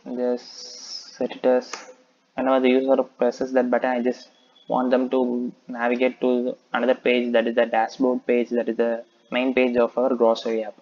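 A man's voice: mid-range voice, completely flat delivery, moderate pace, darkish tone, muffled recording. A man talking through a coding tutorial, explaining step by step and thinking aloud with frequent "okay". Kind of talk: screen-recorded coding tutorial.

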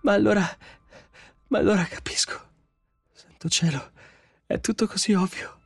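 A man's voice: sits high, normal speed, vocal fry, breathy edge, dry recording, pitched above the performer's own voice, exaggerated voice. A man voicing a tense, sarcastic woman robot psychologist with an agitated, emphatic delivery in an audiobook story. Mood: shocked, anguished.

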